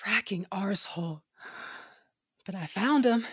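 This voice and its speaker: A tough, foul-mouthed fighter, voiced by a woman, fading fast in a fan audio drama. Her husky voice drags slowly through clipped, broken lines. It is recorded dry and close. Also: mid-range voice, no echo. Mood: weak, fading. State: weak and dying.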